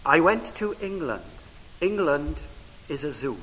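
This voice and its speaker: Welsh-speaking guy preaching in church, slow careful phrasing, big echoey hall. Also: on the high side, big pitch swings. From Wales. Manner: measured, frequent "uh" pauses, rising emphasis on key phrases. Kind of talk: sermon. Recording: reverberant hall with faint hiss.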